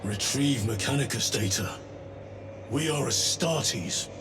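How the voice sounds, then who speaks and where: A man's voice: mid-range voice, slow pace, lightly acted. A man playing a stern, suspicious space marine warrior in a video game, with a solemn manner. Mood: stern, grieving. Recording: loud game music behind.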